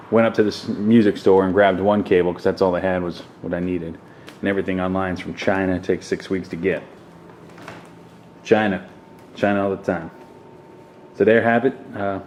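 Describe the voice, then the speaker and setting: A man's voice: sits low, darkish tone. A man giving a casual, unscripted walkthrough in an offhand way, speaking in short clipped fragments. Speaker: vlogger showing a home podcast setup. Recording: clearly noisy room recording.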